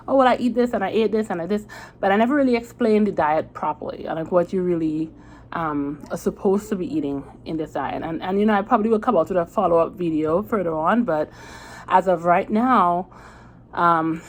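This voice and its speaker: A woman with a Trinidadian accent chatting casually to her viewers, rambling a little with fillers and restarts. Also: mid-range voice. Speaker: vlogger. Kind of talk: casual chat to camera.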